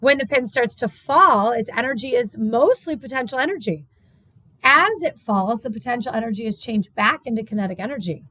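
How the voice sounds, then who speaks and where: A woman's voice: on the high side, lively intonation, a little fast, sharp tone, no echo. A woman science teacher reading a textbook lesson aloud, explaining it step by step and repeating key points.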